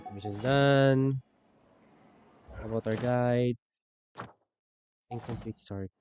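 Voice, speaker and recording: A male game streamer with a mellow, dark voice at medium pitch. He muses aloud and reacts casually, repeating himself, over faint music. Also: steady tone, slow, dull audio. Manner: musing aloud, repeats phrases. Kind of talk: live gameplay commentary, casual reactions.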